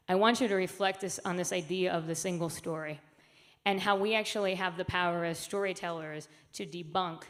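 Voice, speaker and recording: Woman, conference keynote, earnest, emphatic, low-ish voice. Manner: earnest, emphatic, frequent fillers. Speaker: conference speaker. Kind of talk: keynote talk. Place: conference hall.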